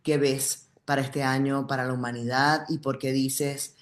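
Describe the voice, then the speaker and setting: A woman with a very low voice, deep enough to pass for a man's, hosts the conversation. Her Spanish carries a Caribbean accent from Puerto Rico, and she talks in a casual interviewing style. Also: little pitch movement, measured pace. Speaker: interview host.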